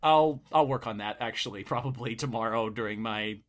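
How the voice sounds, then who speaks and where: A man's voice: mid-range voice, fairly quick. Laughing, rambling male podcast host. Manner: laughs often, rambling with fillers. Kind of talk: casual group chat.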